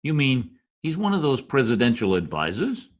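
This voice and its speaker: A man's voice: mid-range voice, wildly swooping pitch, moderate pace. A man playing a pompous, scheming Latin diplomat in old-time radio drama style, theatrical in manner. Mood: skeptical.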